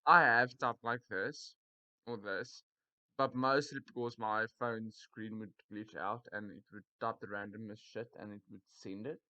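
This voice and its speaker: A young man talks in rambling, casual commentary that breaks into loud disbelief. His voice is bright and forceful, and the sound comes through a muffled, lo-fi mic. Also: fairly low pitch, fairly even intonation, a little slow. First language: Afrikaans. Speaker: YouTuber reviewing Reddit posts. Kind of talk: casual commentary reading posts.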